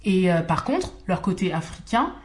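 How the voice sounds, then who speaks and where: A woman's voice: fairly low pitch, sing-song, normal speed. A woman co-hosting a casual conversation, chatty, with easy laughs and many fillers.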